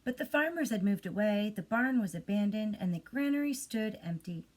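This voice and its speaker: Female storyteller for a children's bedtime tale, expressive, medium pitch, slightly breathy and husky. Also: normal speed.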